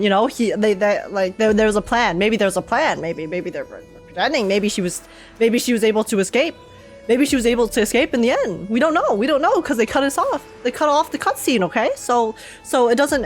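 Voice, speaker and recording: A woman streaming a casual game reaction, talking in an insistent, halting, repetitive way. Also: on the high side.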